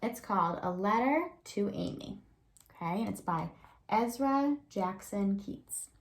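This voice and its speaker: A woman's voice: medium pitch, lively intonation, unhurried, a little hiss or hum. Woman teacher reading aloud to children. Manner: thinks aloud with prompting questions and check-in tags. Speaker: elementary school teacher. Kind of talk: read-aloud reading lesson for young children.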